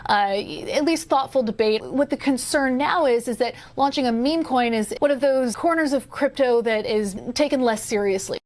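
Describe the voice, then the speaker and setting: A woman offers commentary as an interviewee on the news. Her voice sits a bit above average in pitch. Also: moderate pace, hardly any pauses. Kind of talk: interview soundbite.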